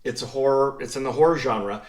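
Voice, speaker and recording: A man with a medium-pitched voice, a little far from the microphone in a roomy space, hosts a conversation. He asks questions informally, with a halting delivery. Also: a little mellow. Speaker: podcast host, writer and director. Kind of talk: conversational interviewing. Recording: echoey room, slightly off mic.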